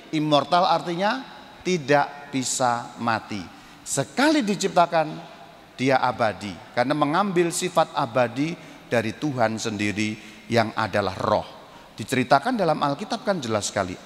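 A middle-aged man, a priest teaching a congregation emphatically with rhetorical questions over a handheld microphone in a church hall. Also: a little high. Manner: animated, emphatic, rhetorical questions answered himself. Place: inside a church, standing before congregation. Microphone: handheld microphone through the hall.

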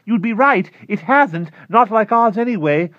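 A man voicing an excitable, peculiar man whose voice goes high and shrill with urgent excitement, on a dull lo-fi recording. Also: moderate pace, dry recording. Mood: tense urging. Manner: urgent, pleading, breathless.

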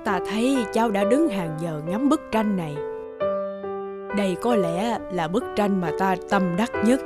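A woman playing a solemn elderly woman painter in a cartoon drama, with a measured, grave delivery. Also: mid-range voice, lively intonation, over loud music, natural voice. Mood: grave, disapproving. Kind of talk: dubbed cartoon drama acting.

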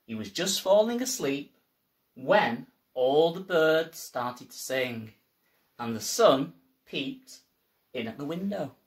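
Male teacher, forties, reading a picture book: theatrical, playful, unhurried, highly varied intonation. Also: expressive. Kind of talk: expressive children's storybook reading.

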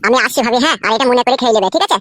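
A woman's voice: on the high side, very fast, a bit bright, no room sound, clean studio sound. A woman voicing a scheming young village man in a cartoon, speaking in a wheedling, rustic way. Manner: wheedling, rustic dialect speech. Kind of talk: cartoon voice acting.

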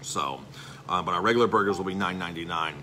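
A man's voice: deep voice, fast talker, sharp tone, a little hiss or hum. A middle-aged man, a chef and restaurant owner, giving a rambling, salesy live-stream update with lots of filler words.

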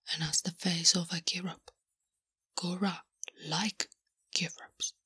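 A woman voicing a big, blunt, smitten and naive female orc in whispered, halting broken English for an ASMR roleplay. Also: fairly low pitch, fairly bright tone. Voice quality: breathy, whispery. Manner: halting broken English, speaks of herself in third person. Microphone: close-up ASMR microphone. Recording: close, intimate microphone.

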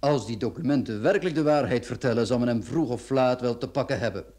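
A man's voice: mid-range voice, fast, a little mellow, natural voice. Courteous, fussy notary, played by a man. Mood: obliging. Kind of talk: Dutch-language radio drama acting.

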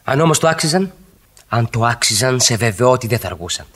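Yearning husband in his thirties, 1960s radio drama, eloquent and emphatic, very animated intonation. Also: medium pitch, husky. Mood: impassioned. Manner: rhetorical, emphatic, repeats phrases. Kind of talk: 1960s radio play acting.

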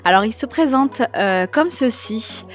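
A woman's voice: on the high side, expressive, unhurried, soft background music. A young woman narrating a cleaning video voice-over, sharing tips with enthusiasm and frequent hesitations. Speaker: home cleaning vlogger.

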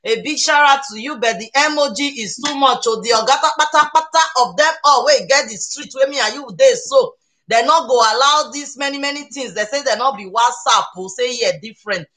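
A woman's voice: sits high, brisk, bright, forceful tone, faint music behind. A woman with a Nigerian accent hosting a casual cooking live stream from her home kitchen, chatting playfully and exuberantly and often repeating phrases. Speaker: cooking show host. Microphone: webcam or phone camera at arm's length to a few steps away.

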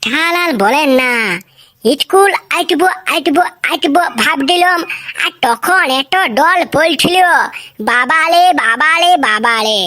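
A woman's voice: high-pitched, pushed and bright, full character voice. A woman voicing a whiny, chatty village schoolboy in a comic cartoon, with a rural western Bengali accent and childlike lisped, rambling, repetitive speech. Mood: complaining.

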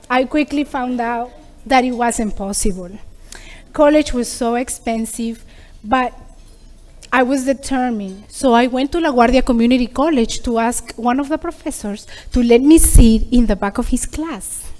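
A woman's voice: fairly high pitch, darkish tone. A middle-aged woman from Peru, giving an earnest prepared speech as a program graduate, with small restarts. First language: Spanish.

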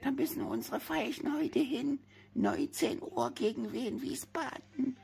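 A woman's voice: sits high, big pitch swings, a little slow, vocal fry, dark tone, full character voice. A woman voicing a sweet, sniffly cheese worm puppet, coughing in a homemade puppet play. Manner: singsong, swooping, cosy. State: has a cold, coughing.

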